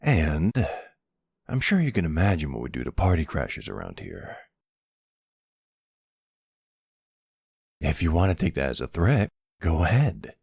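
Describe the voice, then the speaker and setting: A man's voice: huge pitch swings, normal speed, darkish tone, no echo, exaggerated voice. A man playing a smug, flirty, teasing vampire in an intimate ASMR roleplay, with a playful, taunting drawl close to the microphone. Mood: smug warning. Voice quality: deep, gravelly, husky. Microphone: close studio-style microphone, dry.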